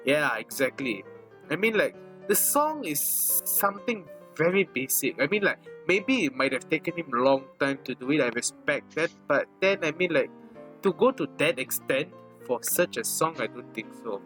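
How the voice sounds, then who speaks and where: A man's voice: fairly high pitch, very animated, moderate pace, fairly bright tone, faint music behind, poor audio. A young man with a Malaysian English accent, co-hosting a casual podcast and rambling with lots of "I mean, like". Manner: rambling, heavy use of "I mean, like". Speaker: podcast co-host. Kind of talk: casual chat.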